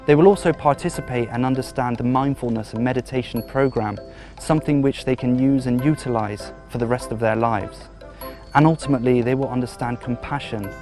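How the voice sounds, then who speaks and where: A man presents to camera outdoors in a formal, measured voice, sometimes circling back over his phrases. His pitch sits in the middle of the male range, and faint music runs quietly beneath him. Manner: jokes and chats cheerfully with children. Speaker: documentary presenter and observer. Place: outdoors at a rural forest monastery.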